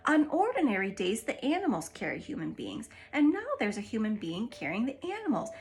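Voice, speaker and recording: Woman reading polite curious little girl from a storybook, high and light. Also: huge pitch swings, brisk, vocal fry, exaggerated voice. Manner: polite, eager.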